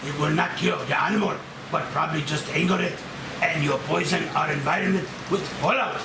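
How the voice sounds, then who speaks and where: A man's voice: a little high, brisk, vocal fry. A man playing an earnest scientist doctor who speaks in clipped, broken English at a screenplay table read. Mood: grave explaining. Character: Japanese scientist doctor, earnest expert on a mutated monster. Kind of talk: table read of a monster movie. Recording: roomy, distant mic, air-conditioning hiss.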